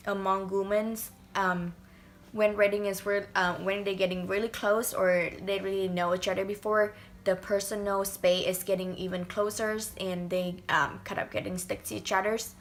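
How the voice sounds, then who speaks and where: A young woman from Vietnam, answering interview questions in halting speech, searching for words. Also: mid-range voice, flat delivery. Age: young adult. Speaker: student interviewee.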